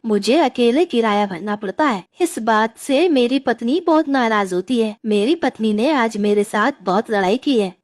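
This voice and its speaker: A woman's voice: fairly high pitch, darkish tone, dry recording, clean studio sound, close to an everyday voice. A woman voicing a mean, jealous male crow, an elder brother in a cartoon, with a scolding manner. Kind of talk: Hindi moral-story cartoon voice acting.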